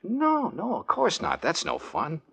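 A man's voice with a lively, expressive pitch, breezy and wisecracking as a cool private detective. He tosses off jokes even when there's danger. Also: measured pace, vocal fry, husky, full character voice. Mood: wry. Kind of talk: 1940s radio acting.

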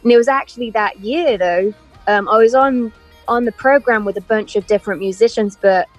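A woman's voice: on the high side, a little fast, soft background music, poor audio. A woman in her thirties with a mixed English and American accent, chatting animatedly as an interview guest over a video call. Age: 30s. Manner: chatty, animated storytelling with frequent laughs. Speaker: guest musician. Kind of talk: casual interview chat.

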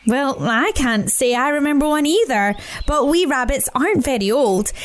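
A woman's voice: high-pitched, expressive, moderate pace, voice pushed up. A woman doing a sensible young rabbit sister. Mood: thoughtful.

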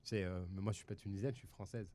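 Fast-talking male co-host. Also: low voice, fairly even intonation, dark tone. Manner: asks pointed questions, laughs readily. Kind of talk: radio panel interview.

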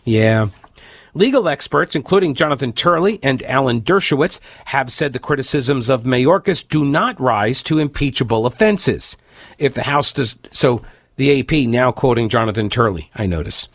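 Man, radio host with a cold: raspy, stuffed-up, sarcastic commentary; close dry studio mic. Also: medium pitch, expressive, moderate pace, no echo. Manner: sarcastic, opinionated, reads news aloud with asides. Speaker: radio talk show host. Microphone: studio microphone, dry.

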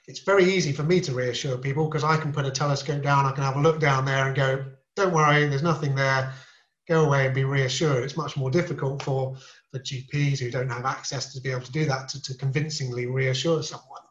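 A man who is an ear, nose and throat specialist, explaining throat problems in a measured, reassuring way on a podcast. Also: mid-range voice, fairly even intonation, a bit bright, reverberant, highs cut off. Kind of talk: podcast interview, explaining medical topics.

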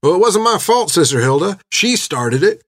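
A man's voice: high voice, big pitch swings, normal speed, no room sound, studio quality, pitched above the performer's own voice, heavily acted. A man voicing a boastful orphan bully who talks in a taunting, whiny way.

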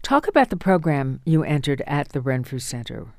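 A woman hosting a radio interview show, asking calm, measured questions. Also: very deep voice, dry recording, clean studio sound.

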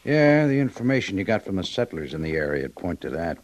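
An actor playing a skeptical frontier army major with an American accent, dry and questioning, in an old-time radio drama. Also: male voice, low voice, big pitch swings, fast, dry recording. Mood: probing. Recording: dull vintage radio recording.

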